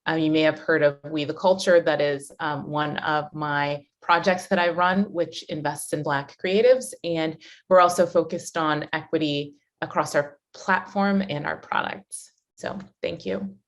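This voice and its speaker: Woman panelist, from Washington DC, low voice, gracious, measured, video call. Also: fairly even intonation. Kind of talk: panel self-introduction.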